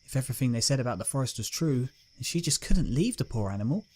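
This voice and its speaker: A man's voice: mid-range voice, very animated, fast talker, a little mellow. A man narrating a dramatic scripted story as its reader.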